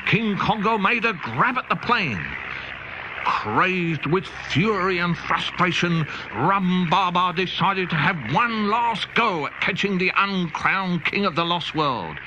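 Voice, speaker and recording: A man narrating a children's storybook in a playful, animated way. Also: sits high, takes it slowly, background noise. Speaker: audiobook narrator. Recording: dull cassette tape with music.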